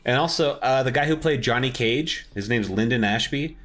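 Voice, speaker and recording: A man's voice: medium pitch, moderate pace, fairly bright tone, soft background music. A man co-hosting a podcast, talking in an informal, enthusiastic way about films and games. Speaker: podcast co-host.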